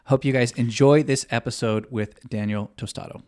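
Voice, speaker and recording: A man's voice: mid-range voice, steady tone, moderate pace, darkish tone, no echo. Co-host: a man with an eager, stop-start delivery, recorded in a dry, close sound. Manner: eager, with many fillers and restarts. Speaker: podcast co-host. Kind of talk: podcast chat.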